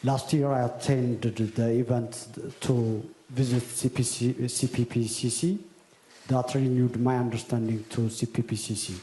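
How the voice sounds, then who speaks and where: A man's voice: mid-range voice, flat delivery, unhurried, mellow. A man from Ethiopia with an Ethiopian accent, a journalist putting a formal question at a press conference in a large echoey hall. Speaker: broadcast journalist asking a question. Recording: echoey hall with background noise.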